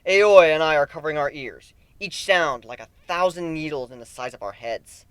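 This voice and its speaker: A man giving an expressive dramatic reading of a fan fiction story as an amateur narrator. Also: a little high, normal speed, clean tone, dry recording.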